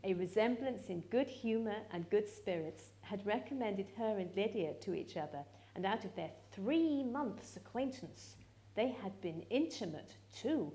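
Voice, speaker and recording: A woman giving a live dramatic reading of literary narration, measured and expressive. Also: mid-range voice, poor audio. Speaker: actress reading a novel aloud.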